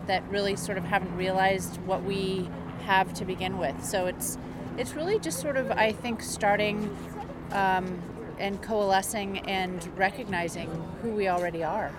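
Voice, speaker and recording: A woman's voice: medium pitch, fairly even intonation, unhurried. A woman giving a spontaneous, reflective interview answer, searching for her words as she goes. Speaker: march participant being interviewed. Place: outdoors on a city street. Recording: loud outdoor street and traffic noise.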